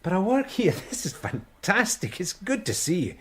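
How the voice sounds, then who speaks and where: An actor playing a weary but genial police detective sergeant in a radio drama, with a wry manner. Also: male voice, high-pitched, moderate pace, exaggerated voice. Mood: delighted, surprised. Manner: wry, animated, hesitant asides.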